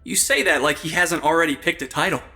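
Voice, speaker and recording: Man playing a high-voiced, wisecracking everyman sleuth. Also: quick pace, bright, forceful tone. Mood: sarcastic. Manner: sarcastic, casual, quippy. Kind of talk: audio comedy drama acting.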